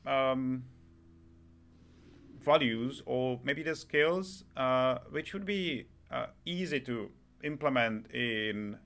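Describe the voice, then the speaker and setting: A man hosting a podcast interview, speaking conversationally with hesitant, halting phrasing. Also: medium pitch, a bit bright. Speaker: podcast host.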